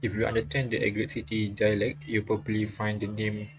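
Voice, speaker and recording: A man streaming a visual novel, reading its dialogue aloud haltingly with casual reactions. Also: lowish voice, completely flat delivery, crowd noise. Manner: halting, repeats phrases as he reads. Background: game music.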